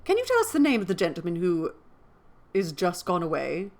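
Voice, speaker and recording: A woman voicing a courteous, decisive gentleman naval captain in a storybook, speaking formally and emphatically. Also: lowish voice, wildly swooping pitch, brisk. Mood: brisk, curious.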